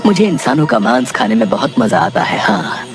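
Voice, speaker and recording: A man's voice: mid-range voice, very animated, moderate pace, over music, natural voice. A man voicing a fearsome, gluttonous man-eating ogre in a children's story, bullying and gloating over food. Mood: menacing, commanding. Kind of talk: acted children's moral story.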